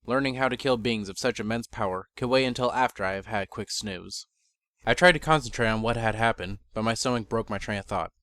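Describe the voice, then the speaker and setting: A man reading a first-person fantasy story as narrator, in a measured, bookish dramatic reading. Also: on the low side, fairly bright tone, no room sound, studio quality.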